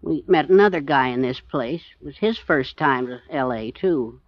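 A man's voice: mid-range voice, animated, normal speed, no echo, natural voice. A male actor as a guilt-ridden little American man on the run in an old radio play. He talks in blunt, plain phrases, recorded dry and slightly muffled in 1940s fashion. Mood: weary, uneasy. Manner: terse, blunt, plain-spoken. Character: short, nervous, guilt-ridden man hiding out for years. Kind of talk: 1940s radio drama acting.

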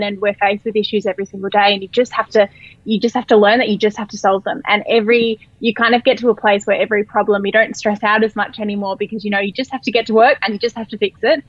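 A woman with an Australian accent and a croaky voice, a company co-founder chatting as a podcast guest. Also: mid-range voice, no echo, dull audio. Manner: chatty, upbeat, repeats words. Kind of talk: casual podcast interview.

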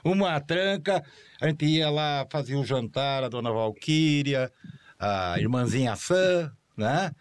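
Guy who used to be a producer, chatty and fond, animated storyteller in a studio chat. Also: mid-range voice, slow pace, clean tone. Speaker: former producer, studio guest.

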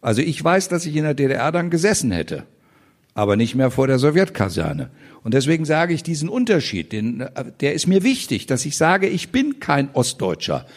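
This is an older man in his sixties speaking as a guest before a live audience. His voice sits a little high for a man, and he talks with passion and force, with bursts of indignation. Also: huge pitch swings, a little fast. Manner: passionate and emphatic, with indignant outbursts. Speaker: politician guest on a panel. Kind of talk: animated stage conversation. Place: public event in front of an audience.

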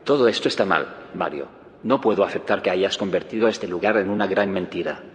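An actor with an accent from Spain playing a principled, doubtful scientist in an audio drama. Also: male voice, deep voice, fairly quick, natural voice. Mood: disapproving, dismayed. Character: troubled, principled male scientist founder, doubtful and disapproving. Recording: long reverb with music underneath.

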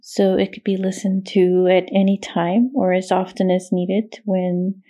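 A woman leading a guided meditation in a soothing, measured way, with long pauses and a gravelly, creaky voice. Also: mid-range voice, flat delivery, mellow. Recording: meditation music with singing bowl behind. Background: meditation music, singing bowl tones.

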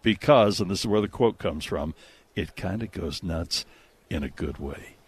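A man with a lowish voice hosts a radio movie show, reading news and bantering casually. He is emphatic and jokey, with very lively intonation. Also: moderate pace, breathy edge. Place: radio studio.

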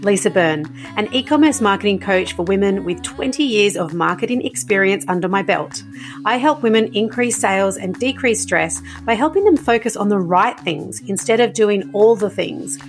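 Bubbly woman podcast host. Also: mid-range voice. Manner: bubbly and encouraging. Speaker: podcast host and marketing coach. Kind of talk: scripted intro, then casual interview chat.

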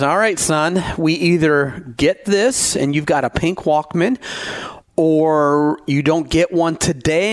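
A man's voice: on the high side, dry recording. A middle-aged man hosting a sports podcast, talking in a folksy, conversational storytelling way. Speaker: podcast host, high school sports broadcaster.

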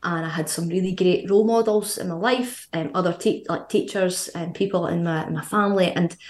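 A woman in her thirties with a Scottish accent, chatting as a guest on a podcast, quick and full of fillers. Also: lowish voice, roomy sound. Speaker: guest, fitness coach. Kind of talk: casual podcast interview.

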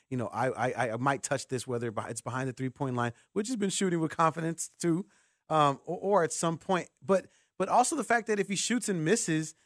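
A man with a slightly high voice hosts a sports talk radio show. He speaks in a conversational interview style, and the sound is dry and close, with very little room in it. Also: no echo.

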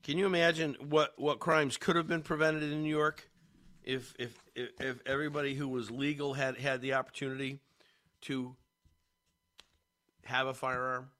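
A man's voice: mid-range voice, little pitch movement, normal speed, bright, forceful tone. A man hosting a talk radio show, congested, rambling casually with many fillers and restarts.

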